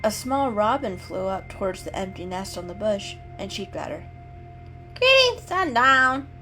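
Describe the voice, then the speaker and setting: A woman's voice: mid-range voice, normal speed. A woman giving a scripted, dramatic narration of an animal story as a storyteller. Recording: music behind the reading.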